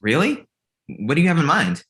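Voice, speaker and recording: A man's voice: on the high side, very animated, fairly quick, fairly bright tone. A man playing a cocky, wry grown son who lives with his parents, in a comedy table read. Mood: wry, eager. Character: cocky, needy grown son, amateur film buff, living with parents.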